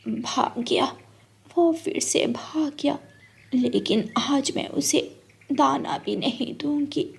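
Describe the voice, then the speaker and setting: A woman's voice: sits high, slow, creaky, heavily acted. A woman voicing an old motherly cartoon woman, plaintive, doting and grumbling. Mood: grumbling, hurt.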